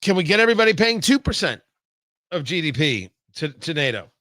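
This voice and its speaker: Bright, punchy male talk show host. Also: a little high, sing-song, a little fast, dry recording. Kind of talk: conversational political talk show with ad read.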